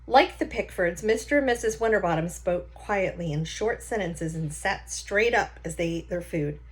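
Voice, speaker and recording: A woman's voice: on the low side, moderate pace. A woman reading a children's novel aloud like a teacher, with short explanatory asides.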